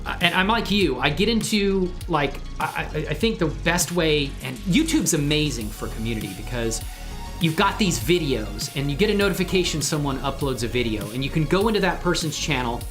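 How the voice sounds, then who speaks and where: Man, casual livestream host, informal, enthusiastic, rambling delivery, soft background music. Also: fairly high pitch, animated, fairly quick. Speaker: livestream host and hobby 3D animator. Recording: music faintly behind the voice.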